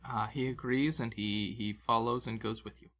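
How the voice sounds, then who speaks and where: A man's voice: mid-range voice, fairly even intonation, moderate pace, a bit bright. A man working as game master, narrating an improvised tabletop adventure in a conversational, descriptive way. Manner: conversational, descriptive, with vocal sound effects. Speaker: game master, podcast host.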